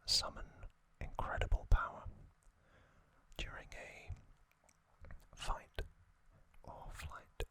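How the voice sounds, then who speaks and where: A man narrating in a breathy ASMR whisper, delivered in short, deliberate phrases as a storytelling host. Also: bright, forceful tone.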